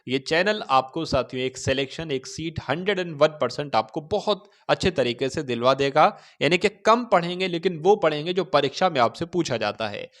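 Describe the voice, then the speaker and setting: A male coaching teacher with a somewhat high voice. He speaks as if to a class of exam aspirants, posing questions and then explaining the answers. He often turns to the listeners with short check-in phrases. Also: a bit bright. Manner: instructive, repeatedly asks questions and answers them himself; addresses listeners directly with repeated check-in phrases. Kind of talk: Hindi grammar lesson for exam aspirants.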